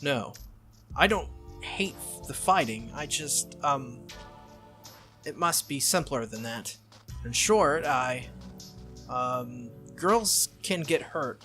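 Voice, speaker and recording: A man voicing a stubborn, earnest young male hero in a visual novel, protective and halting in his speech. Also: mid-range voice, animated, sharp tone, soft background music. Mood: hesitant, earnest.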